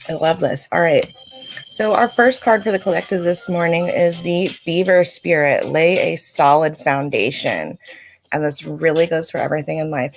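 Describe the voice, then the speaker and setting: Woman, livestream card-reading host, lowish gravelly scratchy voice, chatty and reflective. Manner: chatty, reflective, talks straight to viewers. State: scratchy throat, clearing it.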